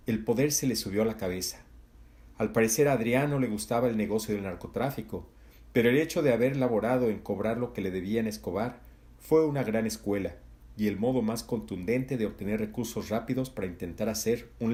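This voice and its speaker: A man narrating a literary novel as an audiobook in a measured, even delivery. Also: lowish voice.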